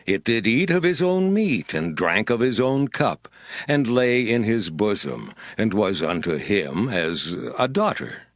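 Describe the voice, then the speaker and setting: An actor playing a stern, grave Hebrew prophet, declaiming solemnly in a dramatized Bible audiobook. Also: male voice, lowish voice, sing-song, unhurried, no room sound, studio quality. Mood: grave storytelling.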